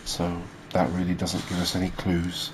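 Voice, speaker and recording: A man hosting a gaming video, giving soft ASMR commentary in a halting, hesitant manner. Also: low voice. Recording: steady background noise.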